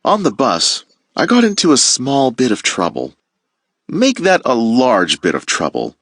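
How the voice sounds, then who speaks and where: A man teacher reading a children's picture book aloud with playful, expressive storytelling. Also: mid-range voice, unhurried, dry recording, clean studio sound.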